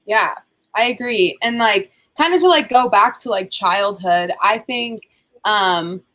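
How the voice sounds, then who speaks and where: A woman's voice: medium pitch, a little slow, sharp tone. A young woman from the United States hosting a casual chat over a video call, talking loosely with lots of filler words. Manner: chatty, many "like" fillers. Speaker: host.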